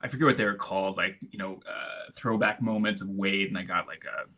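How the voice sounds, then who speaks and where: A man's voice: deep voice, brisk, sharp tone. A middle-aged American man with a laid-back manner and frequent chuckles, speaking as a guest in a casual conversation. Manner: laid-back, chuckles often, many restarts. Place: home in Istanbul.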